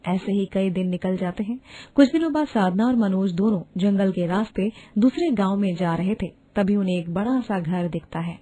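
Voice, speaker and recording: A woman narrating an animated moral story in a steady, plain storytelling manner. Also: mid-range voice, normal speed, poor audio.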